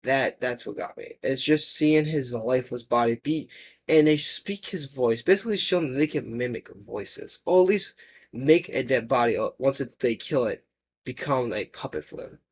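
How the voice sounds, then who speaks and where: A man giving casual horror video commentary, rambling and halting as he rephrases his thoughts mid-sentence. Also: mid-range voice.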